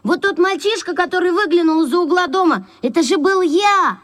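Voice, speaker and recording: Very high-voiced, insistent little schoolboy. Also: female voice, fairly quick, a bit bright. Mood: earnest. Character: earnest, argumentative third-grade schoolboy. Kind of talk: children's radio play acting.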